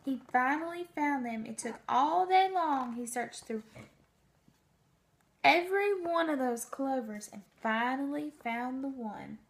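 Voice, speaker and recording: High-pitched, creaky woman reading a storybook. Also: animated, unhurried. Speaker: storybook reader for children. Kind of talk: read-aloud storytelling with questions to young listeners.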